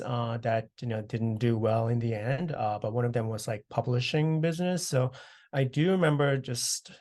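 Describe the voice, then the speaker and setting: Man in his thirties, from South Korea. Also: mid-range voice. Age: 30s. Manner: relaxed, many fillers like uh and you know. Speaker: interviewee. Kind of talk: oral history interview. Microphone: video call.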